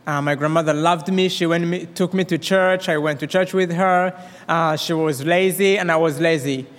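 A young man with a Rwandan accent tells his life story to a church congregation. He talks fast and eagerly, often stopping to restart a phrase. His voice sits a little high and carries a long reverb off the walls of a big hall. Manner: fast, excited, with many restarts. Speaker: guest speaker. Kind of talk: personal testimony in church.

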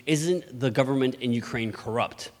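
A man's voice: mid-range voice, moderate pace. A man from Mexico who leads a church missions ministry, giving an earnest presentation with frequent restarts and repeated words. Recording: long hall reverb.

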